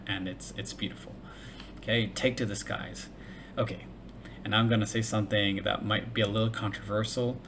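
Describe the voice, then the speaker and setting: Male solo reviewer, bilingual in English and Hebrew, lower register, rambling and thinking aloud, recorded with noticeable background noise. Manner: thinking aloud, many fillers and restarts. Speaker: reviewer of crowdfunding pages. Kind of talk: casual solo commentary.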